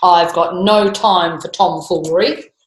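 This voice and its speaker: A woman voicing a gruff, demanding storybook king with a commanding, blustery manner. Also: fairly low pitch, fairly even intonation, a little slow, roomy sound, natural voice, poor audio. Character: gruff, demanding, impatient king striking a bargain.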